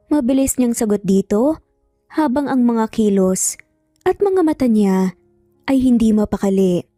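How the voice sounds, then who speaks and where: A woman's voice: on the high side, warm tone, dry recording, clean studio sound. A woman with a Filipino accent narrating a scripted dramatic story.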